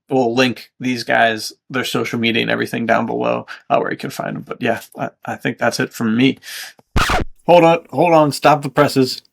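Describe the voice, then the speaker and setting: Guy hosting a podcast, lots of gravelly fry, upbeat and chatty. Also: medium pitch, fairly bright tone. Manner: enthusiastic. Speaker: podcast host. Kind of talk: casual podcast chat.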